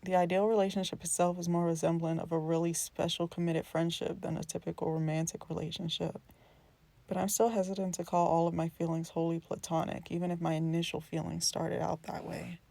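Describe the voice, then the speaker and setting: A woman's voice: fairly low pitch, level tone, brisk, vocal fry, warm tone, dry recording. A woman playing an earnest, introspective young friend in naturalistic scripted dialogue, speaking tentatively and confidingly. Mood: reflective.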